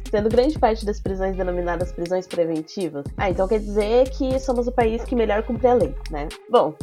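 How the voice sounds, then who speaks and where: A woman's voice: medium pitch, warm tone. A woman with a Brazilian Portuguese accent and a slight cold, hosting a podcast in a casual, chatty way. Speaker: podcast host.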